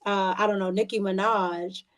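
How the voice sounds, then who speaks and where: Female, medium pitch, songwriter guest in a relaxed, conversational interview. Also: moderate pace. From Cuban background. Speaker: guest, recording artist and songwriter. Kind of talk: casual interview chat.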